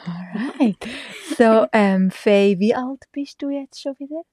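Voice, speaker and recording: Warm-voiced Swiss woman chatting. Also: fairly high pitch, slow, no echo, studio quality. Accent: Swiss German. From Switzerland. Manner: chatty, laughs often, mixes in English words. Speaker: vlogger and mother. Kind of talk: casual chat to camera.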